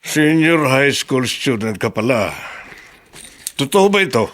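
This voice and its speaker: A man's voice: mid-range voice, big pitch swings, takes it slowly, a bit bright. A man playing a suspicious but deferential security guard in a Tagalog radio drama. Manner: brusque questioning, respectful to superiors.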